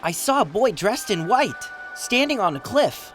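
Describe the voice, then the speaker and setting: An actor playing a mild young crew member in a dubbed animated film. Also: male voice, high voice, measured pace, faint music behind, exaggerated voice. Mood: hesitant recalling.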